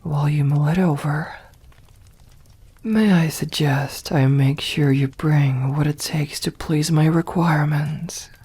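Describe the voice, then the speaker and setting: A woman voicing a seductive, possessive vampire mistress in an ASMR roleplay, speaking formally and coaxingly in a breathy, often whispered voice. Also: deep voice, steady tone, dark tone, exaggerated voice. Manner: slow, formal, coaxing endearments.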